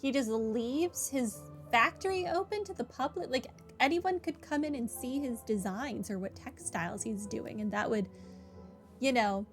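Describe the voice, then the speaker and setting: Woman gamer, fairly high voice, casual commentary, thinking aloud, faint game music behind. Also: normal speed. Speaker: gaming video host.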